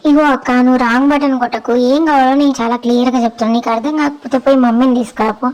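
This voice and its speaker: A woman's voice: high-pitched, steady tone, rapid-fire, darkish tone, close to an everyday voice, poor audio. A woman voicing a stubborn, sassy pet dog who bosses people around in a snappy, exasperated way. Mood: bossy grumbling.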